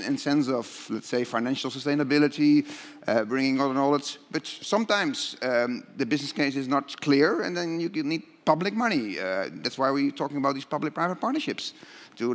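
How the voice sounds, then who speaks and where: Halting man speaking in a reverberant hall. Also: mid-range voice. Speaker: conference panelist. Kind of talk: off-the-cuff panel answer. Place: large conference hall stage. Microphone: headset. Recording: long hall reverb from auditorium sound system.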